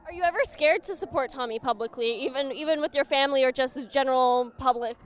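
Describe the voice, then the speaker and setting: A woman reporter asking direct, probing questions and making a pitch to camera. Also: quick pace, clear, resonant tone. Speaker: independent reporter.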